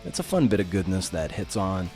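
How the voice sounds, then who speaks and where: A man hosting a podcast, talking conversationally and encouragingly, with some hesitant pauses. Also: low-pitched, very animated, darkish tone, faint music behind. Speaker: podcast host. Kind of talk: conversational interview and podcast outro.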